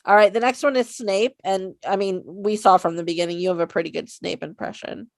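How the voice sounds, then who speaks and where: A woman co-hosting a casual fan chat, relaxed and encouraging. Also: medium pitch, fairly quick, gravelly, dry recording, clean studio sound. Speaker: co-host.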